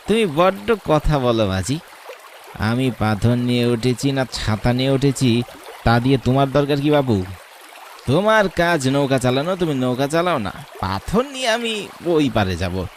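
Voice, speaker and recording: A man's voice: mid-range voice, slight background noise. A man voicing an arrogant, scheming, murderous landlord in a horror cartoon, bullying and taunting. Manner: bullying, haughty, taunting rhetorical questions. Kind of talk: Bengali horror cartoon voice acting.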